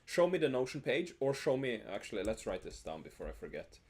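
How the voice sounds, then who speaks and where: A man's voice: mid-range voice, sing-song, a little fast. A young man hosting a live coding stream, thinking aloud with many ums, his phrases fading out. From Norway. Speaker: streamer host. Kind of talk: casual live-coding chat. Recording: faint music and keyboard typing behind.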